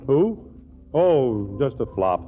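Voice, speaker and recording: An actor playing a jealous, stubborn, ardent husband in an old radio comedy, gruff and clipped. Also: male voice, medium pitch, sing-song, takes it slowly. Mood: distracted, jealous. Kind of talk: 1940s radio comedy acting. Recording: tinny old radio broadcast, loud music behind.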